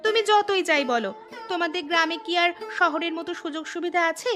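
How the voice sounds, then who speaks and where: A woman's voice: very high voice, fairly quick, music in the background. A woman voicing a stubborn young city wife in a cartoon, pouty and complaining. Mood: sulky.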